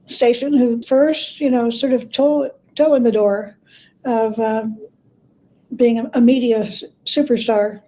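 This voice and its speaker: Woman, higher-pitched, soft mellow tone, conversational expert interview, halting search for words. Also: rough recording. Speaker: guest expert, biographer and scholar. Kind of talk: conversational interview, explaining history.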